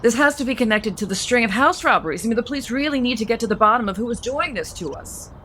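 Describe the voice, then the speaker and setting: A woman's voice: a little high, lively intonation, quick pace, bright, forceful tone, slight background noise. An actress playing a protective, meddling, middle-aged mother of a grown son in a naturalistic audio soap opera. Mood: shaken, indignant.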